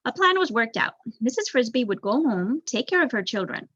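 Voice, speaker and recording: A woman's voice: a little high, big pitch swings, fairly quick, a bit bright, husky, no echo. A young adult woman reading a children's novel aloud as a storyteller, on a dull recording. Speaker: volunteer story reader, student.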